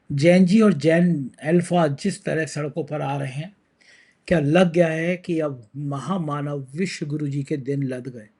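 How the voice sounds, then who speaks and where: A man's voice: fairly high pitch, warm tone, husky. A man hosting a political news talk show, talking with a sore throat in a conversational, emphatic way.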